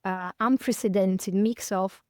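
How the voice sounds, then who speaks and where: A woman in her fifties from northern Italy with an Italian accent, a podcast guest speaking in English as a second language, thoughtful and pausing with many fillers and restarts. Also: mid-range voice, fairly even intonation, no echo, studio quality. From northern Italy, near Milan. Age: 50s. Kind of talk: reflective conversational interview.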